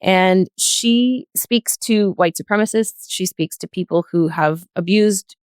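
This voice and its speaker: A woman speaking as a podcast guest, reflecting in an earnest, measured way in a panel discussion. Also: mid-range voice, a little mellow, clean tone, no echo, clean studio sound.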